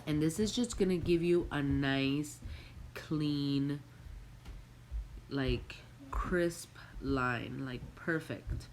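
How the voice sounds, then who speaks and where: Young female beauty vlogger, nasal from a cold, low-pitched and unhurried; casual tutorial patter at home. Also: slight background noise. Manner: relaxed, chatty, addresses viewers as guys. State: stuffy nose from a cold. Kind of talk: makeup tutorial walkthrough. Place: home room with a Christmas tree.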